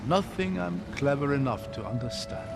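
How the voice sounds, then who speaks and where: A man playing a gentle, bookish scholar in a video game, articulate, curious and earnest. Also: mid-range voice, lively intonation, moderate pace, creaky. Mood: curious, enthusiastic. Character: gentle, bookish male scholar, eager and grieving father. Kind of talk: video game cutscene acting. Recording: long cavernous reverb, music behind.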